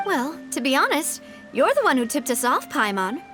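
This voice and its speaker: A woman's voice: high voice, big pitch swings, normal speed, over music. A woman playing a proud, determined young noblewoman in a video game, with pointed, polished, theatrical phrasing. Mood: explaining.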